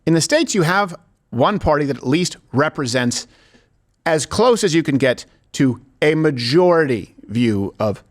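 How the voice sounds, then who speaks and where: Male political talk host, higher voice, very lively intonation, emphatic and sarcastic. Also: measured pace. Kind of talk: political commentary, talk show banter.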